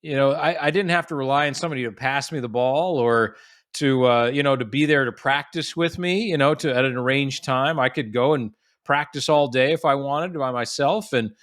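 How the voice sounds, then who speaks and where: A man's voice: mid-range voice, normal speed, a bit bright, dry recording. Chatty man in his forties, dry close sound. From United States. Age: 40s. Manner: chatty storyteller with frequent restarts and fillers. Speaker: guest, golf professional. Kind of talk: casual podcast interview.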